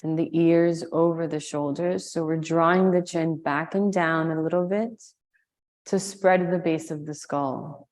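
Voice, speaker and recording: A woman leading a guided meditation, giving slow, soothing instructions with long pauses. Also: low voice, fairly even intonation, darkish tone. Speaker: meditation and yoga teacher.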